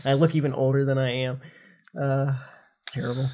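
A man's voice: mid-range voice, little pitch movement, a little fast, a little mellow. A middle-aged man with a nasal, congested voice from a recent flu, chatting casually as a podcast host. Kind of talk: casual chat, explaining news informally.

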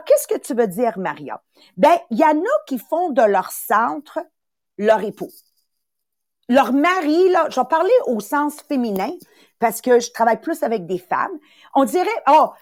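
A Quebec-accented woman in her sixties gives a motivational talk, animated and emphatic. She circles back to the same phrases and slips into quick little acted voices. Her pitch sits fairly high and leaps around a great deal, and the recording is dry with no room sound. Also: no room sound. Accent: Quebec French. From Italy. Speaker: podcast host and sales coach.

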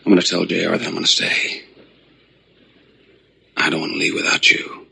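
An earnest man begs a former love to stay, a 1980s TV drama. His voice sits very low, with a heavy gravelly crackle and a bright, forceful edge. A faint ambient hiss runs under it. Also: monotone, normal speed, heavily acted. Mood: pleading. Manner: earnest, pleading.

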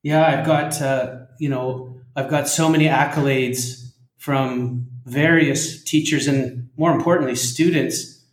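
A man's voice: mid-range voice, fairly even intonation, slow pace. A man hosting a podcast, introducing his guest and putting questions in an interview style. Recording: roomy, echoing sound.

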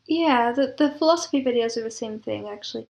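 Female interviewee, higher register with strong vocal fry, reflective and descriptive delivery. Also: brisk, darkish tone. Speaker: guest composer and audio drama producer.

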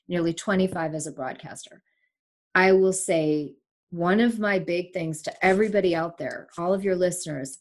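A woman from Sri Lanka in her forties, a longtime broadcaster, talking candidly and emphatically as a guest in a remote interview. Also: on the low side. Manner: emphatic, candid, animated storyteller. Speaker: broadcaster and podcast host, here the guest. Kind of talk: casual interview chat. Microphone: remote call.

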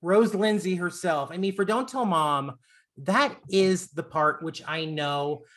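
A man's voice: high voice, a little slow, a bit bright. Gushy campy guy around 40 doing a celeb interview, lots of jokes. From born in Long Island, raised in Florida. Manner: effusive, campy and joking. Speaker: interviewer host.

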